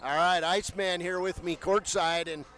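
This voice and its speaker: A man's voice: sits high, fairly even intonation, moderate pace, a bit bright. A man hosting a radio pregame show from courtside, chatting upbeat with a guest. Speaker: radio sports broadcaster. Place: courtside in a gym.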